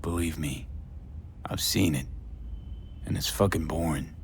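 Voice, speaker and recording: A man's voice: rumbling low, measured pace, vocal fry, slight background noise, full character voice. An actor playing a hardened, weary male mercenary in a game cutscene, speaking in terse, sardonic, clipped lines. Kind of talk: video game cutscene acting.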